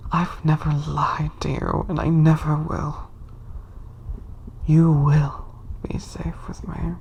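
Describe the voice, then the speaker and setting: A woman voicing a possessive, soft-spoken yandere bunny boy in a breathy, coaxing ASMR whisper. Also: sits low, a little hiss or hum. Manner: slow, soothing, possessive. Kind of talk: ASMR roleplay.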